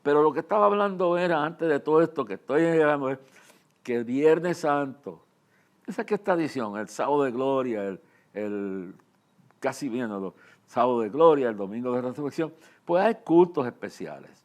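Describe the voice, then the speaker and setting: An older male preacher with a Puerto Rican accent speaks in a middle-pitched voice. He gives personal testimony in an informal, earnest way. His delivery rambles and halts, with phrases repeated for emphasis as he addresses listeners directly. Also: expressive, moderate pace. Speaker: preacher and ministry leader.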